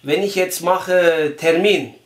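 Man acting as muddled Turkish job seeker, high-pitched, Turkish accent, halting, persistent, prank phone call, roomy echo. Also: slight background noise. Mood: naive, persistent. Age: young adult. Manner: halting, ungrammatical, repeats himself. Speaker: prank caller. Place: small room indoors, phone on speaker. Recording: echoey room.

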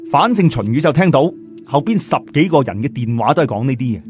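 A man narrating a story in a lively, colloquial Cantonese storytelling style, chatting in asides to listeners. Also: medium pitch, brisk, soft background music. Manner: chatty, uses sentence particles and asides to listeners. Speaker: audiobook storyteller.

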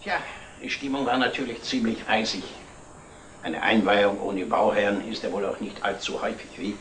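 An actor playing a sardonic, uneasy party guest in a 1960s TV drama. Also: male voice, on the low side, normal speed, background noise, lightly acted. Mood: rueful. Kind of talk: 1960s German TV drama acting. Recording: old TV film sound.

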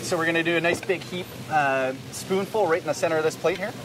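A man in his thirties hosting a cooking demonstration, relaxed and chatty, often laughing and calling his guest "man". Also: fairly high pitch, fast. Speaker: chef and cooking segment host. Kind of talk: casual cooking demonstration chat. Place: indoors in a large grocery store. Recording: distant mic with store background noise.

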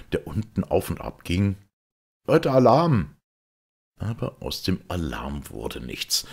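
A man reading a literary story aloud as an audiobook narrator, measured and expressive. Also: very deep voice, gravelly, husky. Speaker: audiobook reader.

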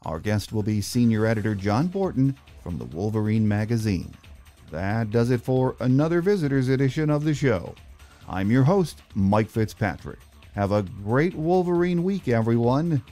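A man's voice: medium pitch, warm tone. An American man hosting a sports podcast, talking to guests and listeners. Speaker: podcast host. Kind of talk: sports podcast interviewing and sign-off.

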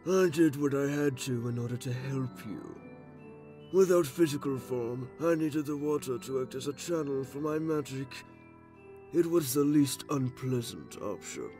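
A man voicing a sinister cloaked spirit in a comic dub, patient, condescending and breathy, with deliberate menace. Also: medium pitch, mellow, natural voice. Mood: smooth contempt. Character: sinister cloaked hermit spirit, coldly patient, vengeful manipulator. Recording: music behind.

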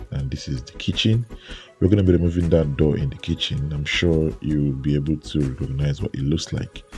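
Nigerian contractor, male, very low and dark voice, easygoing walkthrough narration over faint music. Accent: Nigerian English. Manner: relaxed, filler-heavy, pointing things out. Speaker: renovation contractor. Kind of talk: walkthrough narration of a building site.

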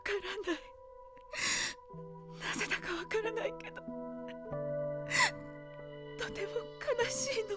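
A frail, ailing daughter in a radio drama. Her very high, breathy voice is weak and sorrowful, with music behind it. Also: unhurried, long pauses. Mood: tearful sorrow. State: weak, tearful. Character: frail, sick grown daughter, sorrowful, clinging to mother. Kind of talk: Japanese audio drama acting.

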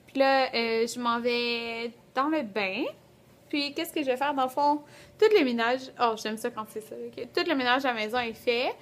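A young woman with a Quebec French accent and a fairly high voice talks like a vlogger at home. She is chatty and colloquial, holding the camera at arm's length in a small room. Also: moderate pace, fairly bright tone. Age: 20s. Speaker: beauty vlogger. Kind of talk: casual vlog chat to camera. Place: small rooms at home, indoors.

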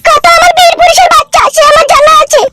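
A performer voicing a sharp-tongued, grudging village wife in a comedy cartoon, curt and grumbling, with a rural West Bengal accent. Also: very high-pitched, flat delivery, fast, very noisy. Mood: irritated.

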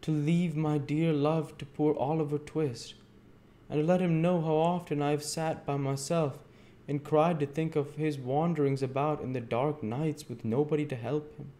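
Guy doing a weak little orphan kid, high soft voice, timid and halting, really earnest, storybook. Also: steady tone, natural voice. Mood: earnest, pleading. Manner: faint, faltering, earnest. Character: sickly, meek, faint-voiced little orphan boy.

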